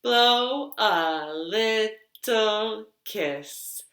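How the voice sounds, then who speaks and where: A woman's voice: medium pitch, animated, drawn-out words, a bit bright. A woman hosting a children's storytime in a sing-song style, drawing out repeated words and giving simple, encouraging instructions, recorded in a living room. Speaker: children's storytime host, librarian. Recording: roomy, echoing home living room.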